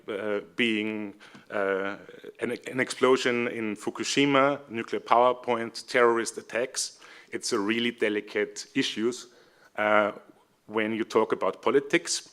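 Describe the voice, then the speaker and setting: A man's voice: medium pitch, a bit bright, smooth. A young adult man with an Austrian accent giving a conference presentation, friendly and hesitant with many fillers. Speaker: seminar speaker, civic educator.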